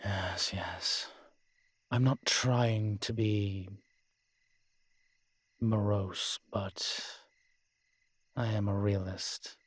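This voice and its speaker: A man playing a world-weary, morose male vampire in a roleplay audio drama, speaking in a measured, formal, brooding manner with sighs and pauses. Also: on the low side, steady tone, no room sound. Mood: grim, resigned. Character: weary old male vampire, morose realist, formerly cruel.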